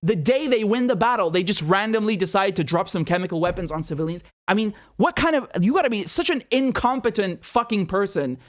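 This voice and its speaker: Host, male, 30s, from Syria; heated, sarcastic commentary, fairly high voice. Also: expressive, a little fast, few pauses. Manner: indignant, sarcastic, repeats words when worked up. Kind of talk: animated commentary to camera. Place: small indoor studio room. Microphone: studio mic on boom arm.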